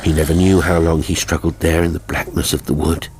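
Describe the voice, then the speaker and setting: A man reading a horror audiobook as narrator, with measured, suspenseful and deliberate delivery. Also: extremely low pitch, fairly even intonation.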